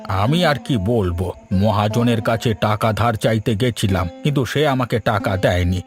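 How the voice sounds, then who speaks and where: A man's voice: fairly low pitch, normal speed, faint music behind, natural voice. A man voicing an elderly, hard-up but hospitable village father-in-law in a Bengali cartoon story. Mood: worried.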